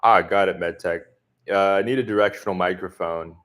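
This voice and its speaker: A man from the southern US answering viewers' comments in a relaxed livestream chat, speaking with a deliberately neutral accent and many filler words. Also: low-pitched. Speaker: expat vlogger answering live chat. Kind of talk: casual livestream Q&A.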